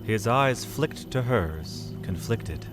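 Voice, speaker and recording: A man narrating a scripted fantasy audio drama, solemn and measured, with deliberate pauses. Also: medium pitch, animated, over music.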